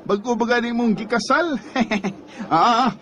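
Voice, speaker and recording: An actor playing a rowdy, teasing teenage classmate in a radio drama, with a boisterous manner and a Cebuano accent. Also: male voice, very high voice, measured pace, a bit bright, slight background noise. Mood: gleeful, teasing. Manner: boisterous, laughing. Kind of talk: Bisaya radio drama acting.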